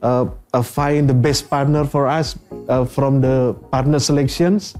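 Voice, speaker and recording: A man in his fifties with an Indonesian accent, a company executive answering interview questions in halting English full of "uh" fillers and restarts. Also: mid-range voice, steady tone, darkish tone, soft background music. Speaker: company president director, guest. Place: bright office studio set.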